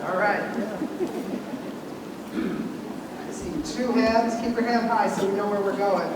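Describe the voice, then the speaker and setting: A man's voice: sits high, steady tone, slow pace. A man leading a community ritual meal, giving instructions and inviting people forward, often restarting his sentences. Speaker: seder leader. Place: large hall. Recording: echoey hall from far off, background noise.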